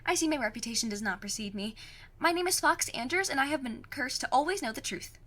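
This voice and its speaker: Audio drama teen girl, 15, played by a woman: high, animated, cocksure, precise and formal in her wording. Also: quick pace, heavily acted. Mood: confident. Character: plucky, cocky 15-year-old girl cursed to detect lies.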